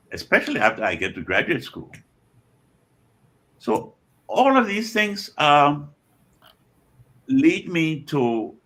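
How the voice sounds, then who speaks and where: An older man in his seventies or beyond with a Liberian accent, speaking reflectively as an interview guest in measured, deliberate phrases with pauses and repetitions. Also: medium pitch, a bit bright. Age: 70s or older. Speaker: guest author and scholar.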